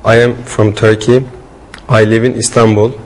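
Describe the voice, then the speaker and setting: A man's voice: fairly low pitch, flat delivery, normal speed, poor audio. A young man in his twenties playing a student on a studio set, making plain, simple statements. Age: 20s. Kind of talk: scripted classroom role-play.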